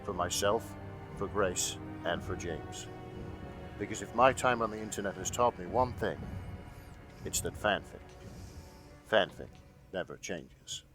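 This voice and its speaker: Guy doing a grave world-weary apocalypse narrator, northern english, low n portentous, music behind. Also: animated, unhurried, long pauses, a bit bright. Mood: grave, ominous. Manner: solemn, portentous. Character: grave, world-weary male post-apocalyptic game narrator, brooding and ominous. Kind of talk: dramatic scripted prologue narration. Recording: music under the voice.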